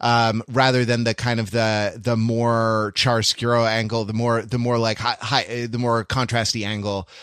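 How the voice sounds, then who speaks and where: A man's voice: medium pitch, flat delivery, moderate pace, hardly any pauses, a bit bright, clean tone, no echo, studio quality. A man co-hosting a podcast, discussing film casually and rephrasing as he explains technical detail. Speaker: podcast co-host.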